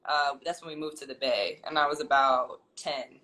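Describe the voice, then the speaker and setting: Thoughtful bright-voiced woman guest. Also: low-pitched, moderate pace, rough recording. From California. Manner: thoughtful, rambling, many ums and restarts. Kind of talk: casual interview chat.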